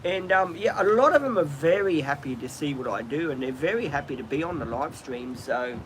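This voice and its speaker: A man's voice: fairly high pitch, expressive, brisk, a bit bright. Chatty Australian man, noisy outdoor stream. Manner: chatty, rambling, frequent ums and restarts. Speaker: livestream host. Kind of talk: casual live-stream chat. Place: outdoors, birds in trees nearby.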